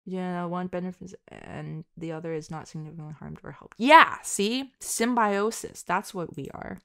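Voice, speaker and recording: A woman talking casually and from the heart to her listeners, halting and self-deprecating, in an informal way. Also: fairly low pitch, vocal fry, fairly bright tone, no echo, clean studio sound. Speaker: audio roleplay and ASMR creator.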